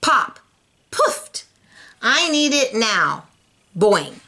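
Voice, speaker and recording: A woman voicing an excitable, easily flustered young schoolboy prankster in a storybook, with exclamatory, emphatic delivery. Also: on the high side, very slow, voice pushed up, exaggerated voice. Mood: effortful reading.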